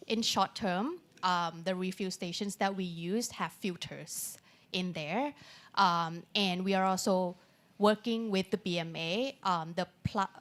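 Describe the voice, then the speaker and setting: A woman panel speaker giving an unscripted answer in English as a second language, pausing often to search for words. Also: fairly low pitch. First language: not English.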